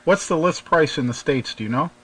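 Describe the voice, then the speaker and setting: A man's voice: a little high, a little fast, a bit bright, husky. A man hosting a radio talk show, asking short questions with fillers. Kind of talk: interviewing a guest.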